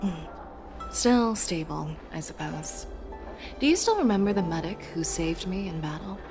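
A woman playing a composed, formal fox-eared general in a video game, speaking in a measured, deliberate way. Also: on the low side, animated, over music. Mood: composed, reflective.

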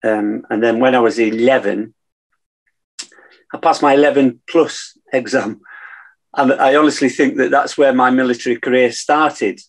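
A man in his sixties with a northern English accent reminisces casually as a podcast guest. His voice is gravelly and husky, at an average pitch. He speaks from home over a video call. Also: normal speed. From Manchester, England. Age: 60s. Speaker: podcast guest, navy veteran. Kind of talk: casual storytelling in an interview.

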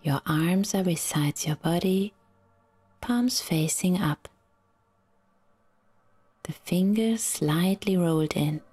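A woman guiding a relaxation meditation in a soft, soothing, half-whispered voice with long pauses. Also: on the low side, husky, soft background music. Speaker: yoga nidra guide.

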